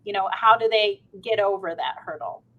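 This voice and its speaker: Female co-host, medium pitch, conversational interviewer, informal and encouraging. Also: steady tone, normal speed, a bit bright, lo-fi sound. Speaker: co-host asking questions.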